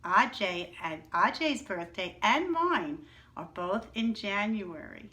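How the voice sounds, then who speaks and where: A woman teaching young children in a slow, sing-song way, asking questions and answering them herself. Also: medium pitch, very animated, sharp tone. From New York, United States. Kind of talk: read-aloud lesson for young children.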